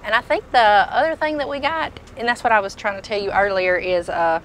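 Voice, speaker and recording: A woman's voice: medium pitch, a little fast, fairly bright tone, a little hiss or hum. A woman, casually showing products as a tool dealer inside a packed truck. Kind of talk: casual product walk-through chat.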